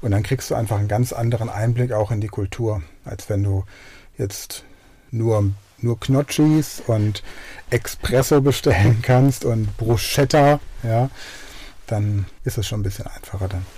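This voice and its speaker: A man's voice: fairly low pitch, measured pace, mellow. A middle-aged German man hosting his podcast and telling anecdotes enthusiastically in an interview. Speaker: podcast host and learning coach. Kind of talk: podcast intro and radio interview.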